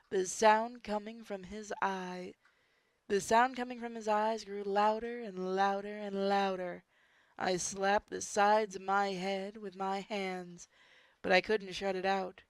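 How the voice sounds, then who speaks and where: Slow-paced woman narrating a story. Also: medium pitch, fairly even intonation, dry recording. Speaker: narrator of online group readings. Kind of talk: amateur dramatic story narration.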